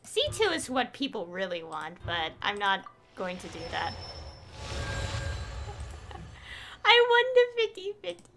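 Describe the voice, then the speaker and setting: A woman chatting casually on a live game stream, excitable and rambling to her viewers. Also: mid-range voice, slow, long pauses, a little hiss or hum.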